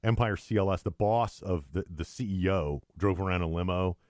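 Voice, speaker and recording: A middle-aged man co-hosting a podcast in casual, joking, sarcastic chat, telling stories with animated delivery. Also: low-pitched, fairly quick, no echo, clean studio sound. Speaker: podcast co-host.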